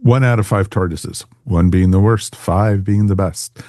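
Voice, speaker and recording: A man's voice: deep voice, measured pace, dark tone, dry recording, clean studio sound. A man hosting a podcast in casual panel chat. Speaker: podcast host.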